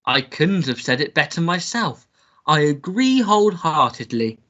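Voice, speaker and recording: A woman playing a pompous young gentleman suitor in a melodramatic radio play, with a theatrical, drawn-out manner. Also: low voice, very animated, lo-fi sound, heavily acted. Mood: smug, hearty. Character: pompous young gentleman suitor, self-satisfied, easily outraged.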